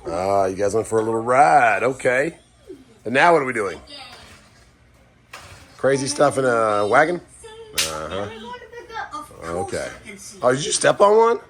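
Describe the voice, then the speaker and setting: A man's voice: mid-range voice, measured pace, long pauses. A man in his thirties with a groggy voice, talking casually through a trucking vlog in a loose, rambling way, with a lot of fillers. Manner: rambling, relaxed talk with fillers. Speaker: owner-operator truck driver vlogger. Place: home kitchen and car.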